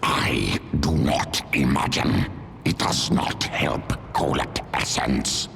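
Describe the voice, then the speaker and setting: A man voicing a hulking, blunt primal alien beast in a video game, with a deep gravelly growl and ponderous, literal delivery. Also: animated, slow pace, music in the background, full character voice. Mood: blunt, hungry. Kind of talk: video game character acting.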